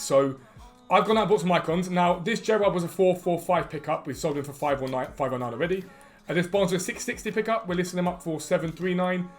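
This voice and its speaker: A man talks fast and casually through a gaming tutorial, his voice a little worn and hoarse. He sits fairly high in pitch and rattles off numbers in quick runs. Light background music plays softly under him. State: losing his voice. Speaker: gaming video creator. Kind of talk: screen-recorded gaming tutorial.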